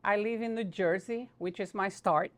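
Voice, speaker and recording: A woman from Brazil, a Portuguese speaker, answering interview questions as a political candidate in earnest, halting English. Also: medium pitch.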